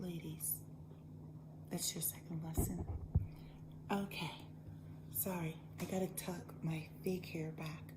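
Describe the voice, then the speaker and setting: A woman voicing a preachy female lifestyle influencer who talks in a slow, hushed, breathy whisper. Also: fairly low pitch, close to an everyday voice. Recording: background noise.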